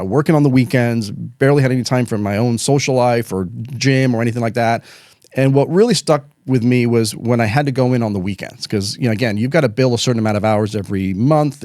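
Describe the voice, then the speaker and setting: A man's voice: mid-range voice, darkish tone, no room sound. A middle-aged man from Chile, an attorney guest telling his career story in casual, laid-back talk, with a studio microphone. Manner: fast, casual, says "dude" and "man".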